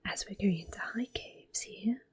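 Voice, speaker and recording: A woman giving gentle, unhurried ASMR game commentary in a soft, breathy, reassuring voice. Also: mid-range voice. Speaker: ASMR gameplay host.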